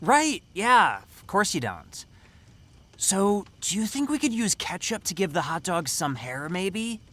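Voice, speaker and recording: A woman's voice: medium pitch, very animated, unhurried, fairly bright tone. A woman playing a whimsical, laid-back young female camp counselor in an audio drama, playful and casual. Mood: goofy.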